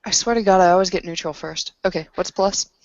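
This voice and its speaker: A woman's voice: on the low side, fast talker, no room sound, muffled recording. A woman playing a tabletop roleplaying game, chatting casually and asking about the rules.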